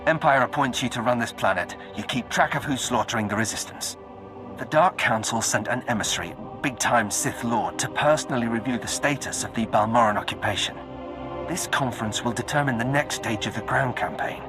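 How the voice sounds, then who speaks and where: Casting: male actor for clipped, no-nonsense military courier, average register, game dialogue over loud score. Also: mid-range voice, fairly quick, over music, natural voice. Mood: brisk, urgent. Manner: clipped, terse, businesslike. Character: brusque male Imperial military messenger. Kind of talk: scripted game cutscene acting.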